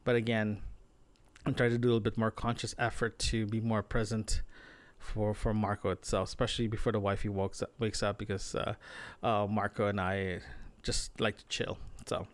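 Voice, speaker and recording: A man's voice: on the low side, level tone, normal speed, no room sound. Man rambling through a casual audio diary. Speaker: solo podcaster, remote-working designer. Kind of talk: casual audio diary monologue about daily routine.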